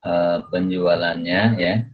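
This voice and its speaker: A deep-voiced man explains material like a lecturer. He moves haltingly, repeating himself and tagging phrases with small checks. The audio is muffled and lo-fi. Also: completely flat delivery. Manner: halting, repetitive, punctuates phrases with a checking tag. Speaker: accounting lecturer.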